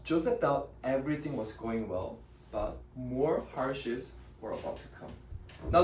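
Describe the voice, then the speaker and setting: A man preaching to a youth group with a higher-than-usual, very animated voice. He moves between casual, filler-laden talk and sudden loud emphases. He sounds set back from the mic in a roomy, echoing church hall with faint ambience. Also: a little hiss or hum. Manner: conversational, with fillers and restarts. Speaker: youth pastor. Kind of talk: sermon. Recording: echoey room from a distance.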